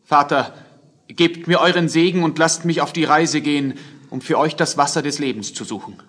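A man's voice: on the high side, normal speed, a bit bright. A man playing an earnest, determined young prince, the eldest son, in a fairy-tale radio play.

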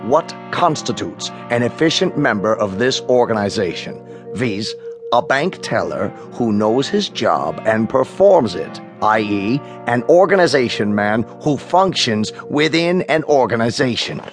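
A stern, pompous male bank president in a radio drama. He speaks at an ordinary male pitch that swings widely, stretching and stressing words with officious, browbeating precision. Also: very animated, measured pace, soft background music. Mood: exasperated, pompous.

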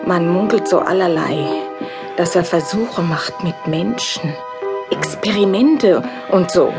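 Low-voiced uneasy wife, music behind. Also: female voice, animated, slow, creaky, heavily acted. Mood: worried. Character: uneasy, cautious constable's wife, fond of rumours. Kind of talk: German horror audio drama.

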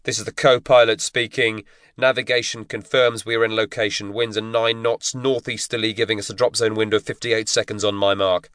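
A man voicing an aircraft co-pilot making a crisp, formal announcement over the speaker. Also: on the low side, level tone, a little fast, a bit bright, dry recording, clean studio sound, lightly acted.

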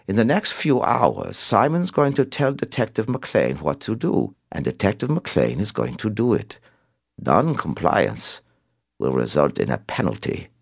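A man voicing a sinister European criminal caller with an accent, speaking in a slow, flat, playfully menacing way. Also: sits low, vocal fry, no room sound, studio quality, full character voice. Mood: calm menace. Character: sinister European male bomber taunting police by phone.

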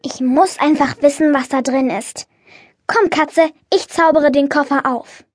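A woman's voice: high voice, unhurried, a little mellow, soft background music. A woman playing a determined, curious young witch girl in a children's audiobook. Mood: eager, determined.

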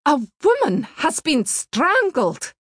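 Older British lady passenger, played by a female narrator: urgent, emphatic, high-pitched and insistent. Audiobook, dry sound. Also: huge pitch swings, slow, no echo, exaggerated voice. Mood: alarmed, insistent. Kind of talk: audiobook character acting.

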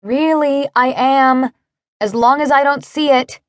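Feisty, rattled storybook heroine performed by a female narrator, raised pitch, indignant and panicky, in a dry recording. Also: big pitch swings, normal speed, a little mellow, no room sound. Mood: panicky, squeamish. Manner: agitated, accusing.

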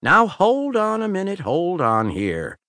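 A man putting on a crude, leering older convict in an audiobook, pitched well above the narrator's own low voice. He drawls, teases and toys with the listener, his voice swinging up and down. The read is dry and close. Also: huge pitch swings, a little slow, bright, forceful tone, no room sound, full character voice. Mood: sly. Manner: drawling, teasing and sly. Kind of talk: audiobook character voice.

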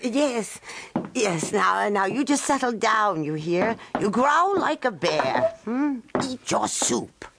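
A woman's voice: mid-range voice, big pitch swings, slow, vocal fry, background noise, heavily acted. A woman playing a kindly, feisty old grandmother with folksy, soothing yet bossy talk in a children's audio drama. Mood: scolding fondly.

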